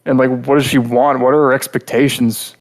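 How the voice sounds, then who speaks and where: A man's voice: medium pitch, level tone, a little fast, low-quality recording. Rambling, blunt male podcast co-host. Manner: rambling, blunt, heavy use of filler "like". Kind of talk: casual podcast critique.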